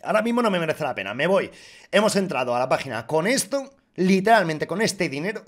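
A man with a Castilian Spanish accent doing casual, foul-mouthed, excitable gaming commentary for his viewers. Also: on the high side, fast talker. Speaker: YouTuber, gaming streamer. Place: small room with acoustic foam panels. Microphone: boom-arm desk mic close up.

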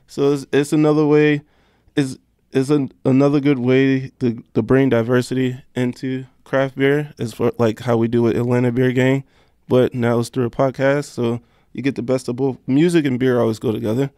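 A man's voice: mid-range voice, flat delivery, normal speed, mellow, no room sound, clean studio sound. A man talking casually as an interview guest, informal, often repeating himself and leaning on "like". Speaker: guest chef and podcast co-host.

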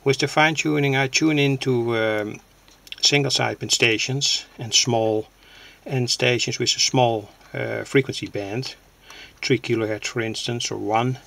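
A man with a creaky voice gives a halting, unscripted technical demonstration, explaining things plainly. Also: mid-range voice, fairly even intonation, pushed and bright. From Netherlands. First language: Dutch. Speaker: hobbyist radio builder, presenter. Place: indoors at a home workbench. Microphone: handheld camera's built-in microphone.

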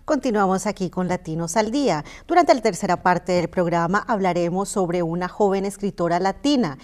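Brisk, encouraging woman talk show host. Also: fairly low pitch, a little mellow, faint music behind. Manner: brisk, encouraging, many affirming ujús. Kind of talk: TV studio interview. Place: small TV studio. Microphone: lapel mic.

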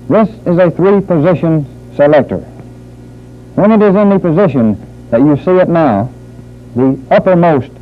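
Male army instructor for vintage training film, higher-pitched voice, formal and deliberate, thin phone-like sound. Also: slight background noise. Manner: formal, measured, deliberate phrasing. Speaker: military weapons instructor.

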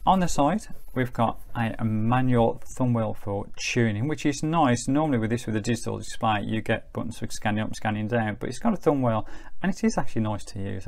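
Low, creaky-voiced middle-aged man reviewing gadgets. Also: darkish tone. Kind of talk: casual product review.

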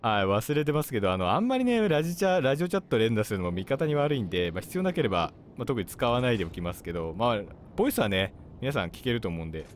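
A man's voice: mid-range voice, sing-song, fast, hardly any pauses, slight background noise. A man commentating on his gameplay as a video host, explaining things in a polite manner. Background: game music, gunfire and artillery.

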